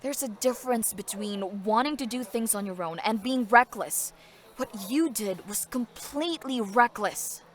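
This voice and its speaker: A woman acting the part of a blunt, protective, sharp-tongued elder sister in an audio drama, with a scolding, forthright manner. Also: a little high, measured pace, natural voice.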